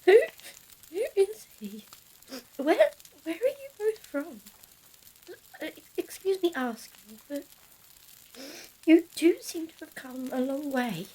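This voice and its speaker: Actress as timid, high-voiced, slow-spoken village girl. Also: big pitch swings, warm tone, full character voice, low-quality recording. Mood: nervous curiosity. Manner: hesitant, stammering, deferential. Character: timid, apologetic, well-bred village girl. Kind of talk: period audio drama acting.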